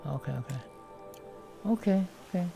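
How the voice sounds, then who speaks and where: A woman with a gravelly, creaky edge to her voice, talking casually through a live game stream from her room at home while wearing a VR headset. Her commentary rambles, stopping and restarting as she works out the controls, with faint music playing underneath. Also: fairly low pitch, lively intonation, normal speed, mellow. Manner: rambling, hesitant, lots of restarts.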